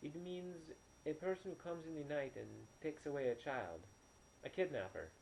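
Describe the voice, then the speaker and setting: A man's voice: fairly high pitch, big pitch swings, moderate pace, creaky, a little mellow, pitched above the performer's own voice. A male narrator plays a practical village woman of sixty, a midwife in a storybook. She speaks firmly and plainly in a slightly raised voice, with faint noise in the recording. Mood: puzzled. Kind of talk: audiobook character dialogue. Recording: noisy, poor-quality home recording.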